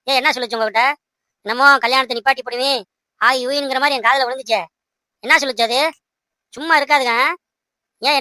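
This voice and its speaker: A woman's voice: high voice, sharp tone, no room sound. A woman voicing an earnest, protective, hot-headed young fiancé in a cartoon, emphatic and insistent. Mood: suspicious. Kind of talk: amateur cartoon dialogue in colloquial speech.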